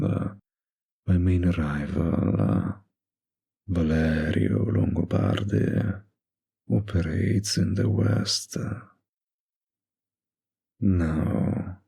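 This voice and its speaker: Man acting a very slow, husky mafia boss. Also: sits low, warm tone, full character voice.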